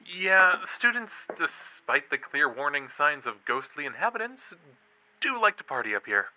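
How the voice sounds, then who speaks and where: An actor playing an eager young college true-crime podcaster in a horror audio drama, a teasing, suspenseful storyteller. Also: male voice, a little high, big pitch swings, normal speed, bright, forceful tone, heavily acted, poor audio. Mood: intrigued, teasing. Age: young adult.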